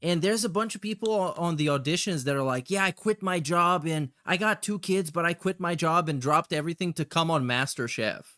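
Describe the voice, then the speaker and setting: A man's voice: on the high side, a little fast, few pauses, sharp tone, no echo, clean studio sound. A man hosting a casual livestream, making sarcastic, mocking asides as he reacts to another streamer. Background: music and another streamer's video.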